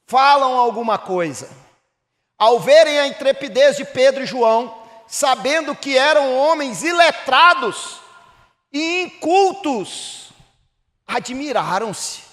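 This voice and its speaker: A Brazilian pastor from Minas Gerais with a Mineiro accent, preaching a sermon that rises to emphatic bursts and drops low again. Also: male voice, fairly bright tone, big-room sound. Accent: Mineiro Brazilian Portuguese. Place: church hall.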